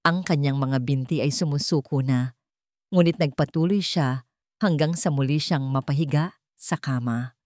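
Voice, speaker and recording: A woman with a Filipino accent narrates a romantic drama in a low voice. Her delivery is measured and theatrical, broken into short phrases, and the recording is dry and close. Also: darkish tone, no echo. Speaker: storyteller. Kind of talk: scripted dramatic narration of a Tagalog love story.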